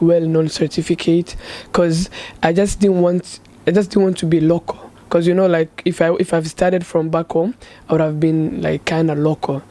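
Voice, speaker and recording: A man in his twenties from Somalia, a medical student giving casual, halting answers full of "like". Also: a little high, a little mellow. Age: 20s. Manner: hesitant, filler-heavy, frequent "like". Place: student dormitory room.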